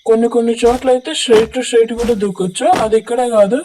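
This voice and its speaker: A Telugu-accented gaming creator speaks through a voice changer in a mid-pitched voice. The delivery is an instructional gameplay walkthrough that often repeats its directions. Music plays clearly behind the commentary. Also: fairly even intonation, fairly quick.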